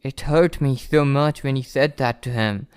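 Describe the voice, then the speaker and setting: A man's voice: mid-range voice, normal speed, darkish tone, smooth, no echo, lightly acted. A man playing an obsessive, possessive young childhood friend in love, in an intimate ASMR roleplay monologue, pleading and menacingly tender. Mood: coldly pleading.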